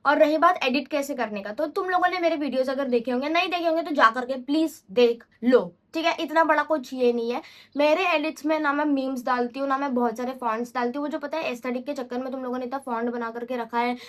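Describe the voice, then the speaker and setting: A young woman with a high voice vlogs in a loose, unscripted way. She rambles and chats and often corrects herself mid-sentence. She mixes English phrases freely into her speech. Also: fast talker. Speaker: vlogger. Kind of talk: casual unscripted vlog talk.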